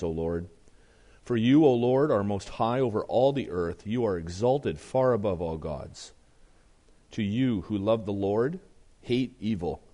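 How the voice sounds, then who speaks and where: A man preaching a sermon as pastor, his voice strained and deeper than usual, reading scripture with earnest, rising emphasis. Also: mellow.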